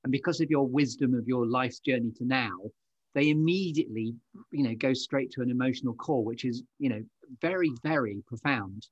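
A man's voice: mid-range voice, fairly quick, no room sound. A man hosting a podcast interview, speaking in an effusive, flowery, conversational way. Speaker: podcast host. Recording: dry, slightly dull lo-fi audio.

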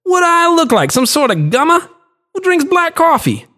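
A man's voice: very high voice, wildly swooping pitch, moderate pace, pushed and bright, pitched above the performer's own voice, full character voice. A man voicing a lumpy, jittery stranger in withdrawal who screams indignantly. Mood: outraged.

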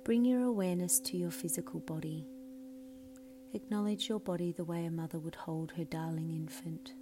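A woman leading a scripted guided meditation in a soothing, measured way, her phrases often softening into breathiness. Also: on the low side, fairly even intonation, over music. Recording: clearly audible background hum and noise.

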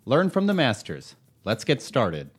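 A man's voice: medium pitch, wildly swooping pitch, a little slow. A man reading a scripted podcast intro in an announcer delivery. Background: faint music.

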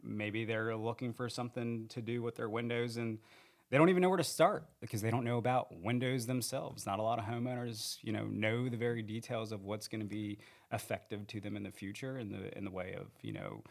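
Guest man, lowish gravelly voice, earnest rambling podcast talk. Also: fairly quick. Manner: rambling, self-correcting, earnest. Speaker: training manager. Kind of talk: casual podcast interview. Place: podcast studio. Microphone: handheld studio mic.

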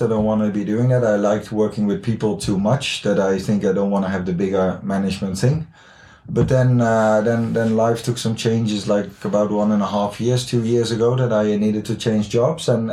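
Mellow young Dutch man in a roomy space. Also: lowish voice. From the Netherlands. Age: late 20s. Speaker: podcast guest, gym founder. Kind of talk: casual interview chat.

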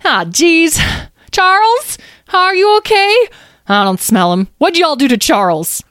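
Woman as a high-pitched, plaintive little mouse. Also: very animated, a little slow, fairly bright tone, dry recording, studio quality, full character voice. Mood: plaintive, alarmed. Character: tiny timid worried mouse, plaintive and suspicious.

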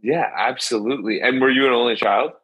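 A man's voice: medium pitch, a little fast, fairly bright tone, husky, no room sound. A man hosting a podcast, reading an upbeat scripted intro and then asking interview questions. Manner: upbeat, promotional. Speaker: podcast host.

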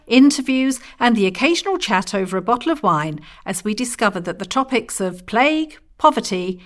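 Woman host, lively pitch movement. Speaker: podcast host. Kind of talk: scripted podcast trailer narration.

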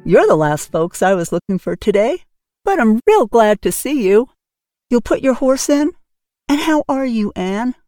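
A woman's voice: fairly high pitch, very animated, unhurried, a little mellow, dry recording, soft background music, pitched above the performer's own voice. Woman voicing a kindly neighbor woman, fussy, flustered, chattering, apologetic, dry audiobook sound. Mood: welcoming.

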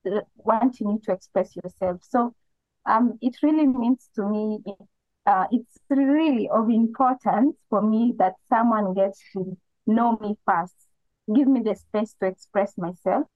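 A woman's voice: medium pitch, measured pace, dry recording. A woman with a Kenyan accent, a guest answering interview questions thoughtfully, with frequent "um". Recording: tinny phone-like call line.

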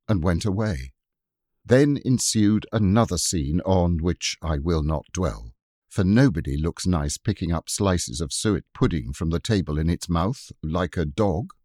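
Expressive low-voiced male storyteller. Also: no room sound, clean studio sound. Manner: expressive, wry. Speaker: audiobook narrator. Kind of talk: storybook narration.

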